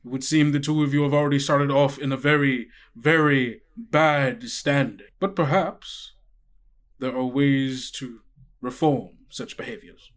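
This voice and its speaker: Man as owl principal, fantasy tabletop game, haughty, formal, belittling, mid-pitched, stern. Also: steady tone, a little slow, close to an everyday voice. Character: stern, condescending owl school principal, cold authority.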